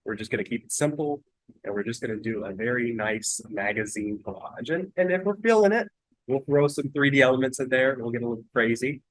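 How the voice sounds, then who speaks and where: A young man talks viewers through a collage demo in a relaxed, rambling way, his voice nasal from a cold and a bit husky. The sound is dry and close, with no room around it. His pitch sits in an ordinary middle range. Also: a little fast, no echo, rough recording. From North Carolina. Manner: relaxed, chatty, with many fillers and restarts. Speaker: mixed-media artist giving a demo. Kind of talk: casual live-stream art demonstration. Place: home art studio, indoors. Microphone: earbuds.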